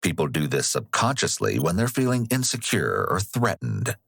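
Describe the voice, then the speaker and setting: A man narrating a true crime analysis video in a measured, explanatory way. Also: deep voice, wildly swooping pitch, vocal fry, a bit bright, breathy edge.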